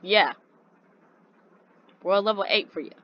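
A young woman talks casually through a video game, chatty and prone to grumbling asides. Her pitch sits in the middle for a woman, and clear game music plays behind her. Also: expressive, measured pace, highs cut off. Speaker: gaming streamer doing reaction commentary. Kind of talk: casual gameplay commentary.

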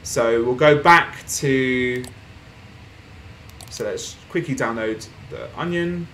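A young man casually commenting on a desktop game as he plays, reading posts aloud and laughing softly, recorded at home with faint background noise. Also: mid-range voice, slow. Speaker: gaming streamer. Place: small room at home, indoors.

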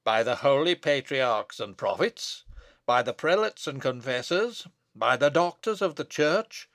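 A man's voice: fairly high pitch, animated, slow, a bit bright, no room sound, studio quality. A man voicing a stern, wrathful abbot in solemn, declamatory archaic speech for a storybook reading. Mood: wrathful, condemning. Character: stern, wrathful abbot pronouncing a prophetic curse.

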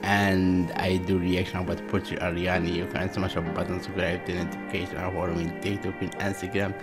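A man from Algeria in his thirties hosts a reaction video, gushing in simple English and repeating his exclamations. His voice sits low. He sits close to a webcam mic in a small room. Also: level tone, a little fast, loud music behind the voice. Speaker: reaction channel host. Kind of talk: excited reaction commentary. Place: small indoor room before a dark backdrop.

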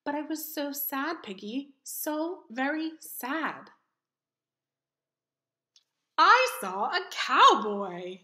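An emotional storybook elephant acted out by a woman, high and swooping. He exclaims dramatically and swings between woe and excitement. The room adds some echo. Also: extremely slow, long pauses, exaggerated voice. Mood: upset. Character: emotional cartoon elephant, male, sad then excitable. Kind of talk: children's picture book read-aloud. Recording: roomy echo.

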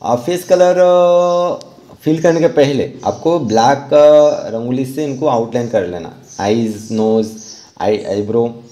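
An Indian man giving an informal step-by-step tutorial voice-over as an instructor, halting and repeating himself as he explains. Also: mid-range voice, dark tone. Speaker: rangoli art instructor.

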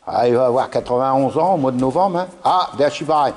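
Lively man chatting in an echoey dojo. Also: mid-range voice, fairly quick. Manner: lively, prompting, many tag questions. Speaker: interviewer filming at the club. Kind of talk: casual chatty interview. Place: large indoor judo dojo. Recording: echoey hall, faint noise.

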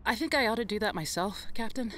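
A woman's voice: on the high side, animated, quick pace, fairly bright tone, slight background noise. An actress playing an earnest, resolute woman in an audio drama.

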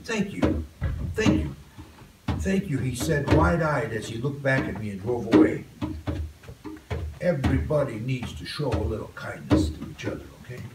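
An older man, a guest poet, reading a poem and chatting softly with students in a school classroom. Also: medium pitch, expressive, moderate pace, pauses often, over loud music. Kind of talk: poetry reading and chat. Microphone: far phone camera. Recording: roomy, distant, noisy phone recording.

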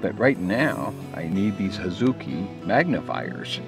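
Deep-voiced older fisherman, guitar behind. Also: big pitch swings, takes it slowly. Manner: plain-spoken, folksy. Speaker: testimonial fisherman. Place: outdoors by a lake. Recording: ad with music bed. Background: guitar music.

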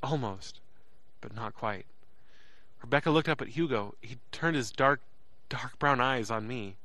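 A man's voice: mid-range voice, a little slow, bright, forceful tone, dry recording. An actor playing an uneasy, earnest young man who narrates his own story in an audio drama. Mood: reflective, stunned.

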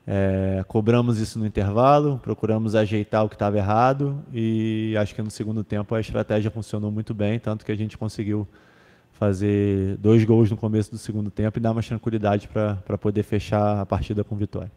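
A man's voice: lowish voice, fairly even intonation, a little fast, warm tone, long reverb tail. A young man, a football defender, answering press questions in a casual way, with many fillers and restarts, into a table microphone. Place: club press room with sponsor backdrop. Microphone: table microphone on stand.

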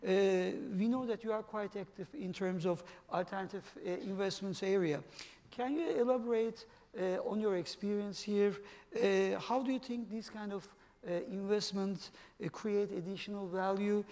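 A man's voice: high-pitched, fairly even intonation, warm tone. A man with a Turkish accent moderating a conference panel on stage, reading out statistics with many hesitations. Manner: halting, many fillers while reading figures. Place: large conference hall stage. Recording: long reverb of a conference hall.

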